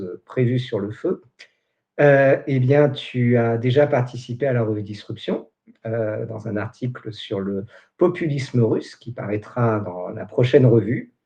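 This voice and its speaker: A man in his forties hosts a relaxed interview chat. He jokes and fills his sentences with hesitations, and his voice has a mellow, dark tone. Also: mid-range voice, gravelly, dull audio. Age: 40s. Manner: joking, chatty, many fillers. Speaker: host.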